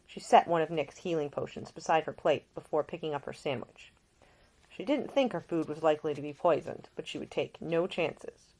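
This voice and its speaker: A woman's voice: low-pitched, moderate pace, darkish tone, a little hiss or hum, rough recording. A woman reading her own novel as scripted audiobook narration.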